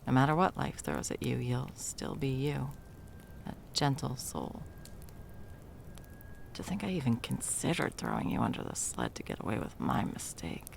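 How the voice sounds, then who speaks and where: A woman playing a sarcastic, self-doubting, hesitant Christmas elf in an intimate ASMR audio roleplay, with a creaky voice. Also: a bass voice, huge pitch swings, moderate pace, slight background noise, exaggerated voice. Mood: soft, rueful.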